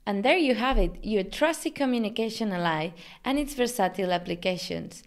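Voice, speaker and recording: A woman in her thirties speaks as a company presenter. Her voice sits at an average pitch for a woman. She delivers scripted product narration in an upbeat, promotional manner. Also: expressive, fairly quick. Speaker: company presenter, digital marketing manager.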